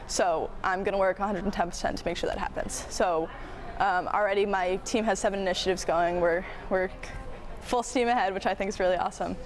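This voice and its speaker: Young student woman with background noise. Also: medium pitch. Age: young adult. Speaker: student government president. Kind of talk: casual interview answers.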